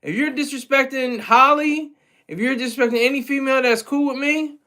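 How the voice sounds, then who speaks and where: Streamer, male, high-pitched, rambly casual chat with viewers, lots of restarts. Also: brisk, pushed and bright. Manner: loose, rambling, with frequent restarts and chuckles. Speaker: livestream host reading chat.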